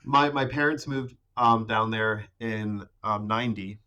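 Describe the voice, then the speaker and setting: A man in his thirties, a podcast guest, talking casually about growing up in the rainforest, with many pauses and restarts. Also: on the low side, pushed and bright, clear, resonant tone, highs cut off. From Ecuador. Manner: hesitant, many fillers and restarts. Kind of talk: casual conversation.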